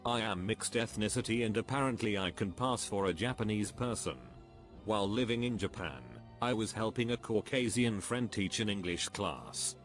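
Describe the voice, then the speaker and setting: A man reading anecdotes aloud as a scripted narrator, at a steady, even pace. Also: fairly low pitch, moderate pace. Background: faint background music.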